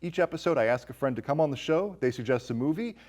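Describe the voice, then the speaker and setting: A man's voice: medium pitch, expressive, fast, darkish tone. A man hosting a podcast, chatting casually about movies with jokey, teasing banter. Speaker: podcast host.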